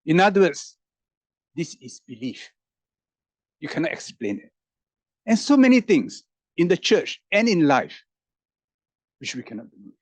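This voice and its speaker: A man's voice: on the high side, sing-song, measured pace, long pauses, dry recording. A man speaking as a roundtable panelist, musing through rhetorical questions with emphatic rises in his voice. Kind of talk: animated reflective public talk.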